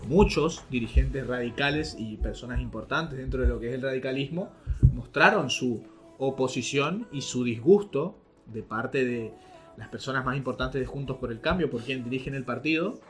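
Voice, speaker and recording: A man's voice: mid-range voice, normal speed. A man hosting a semi-formal political discussion. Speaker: podcast host. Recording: faint music behind.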